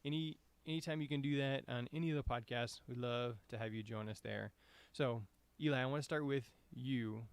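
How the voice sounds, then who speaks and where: A man's voice: mid-range voice, creaky, no room sound. A man hosting a podcast interview, asking loosely phrased, conversational questions. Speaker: podcast host.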